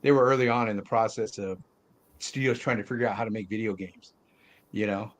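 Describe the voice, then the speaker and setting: A man's voice: on the low side, fairly quick. A man hosting a discussion show in casual, informal chat with loose phrasing. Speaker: host of a movie discussion show.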